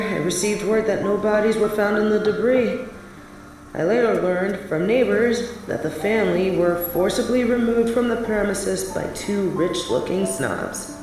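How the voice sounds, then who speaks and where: Heavy echo and music surround a young woman played by a voice actress, set back from the mic. She is a wistful, defiant biker confiding her memories on a date in an audio drama. Her pitch sits at an ordinary middle height. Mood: hurt, grieving. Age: young adult. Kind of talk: scripted audio roleplay monologue. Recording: very echoey, distant sound with music behind.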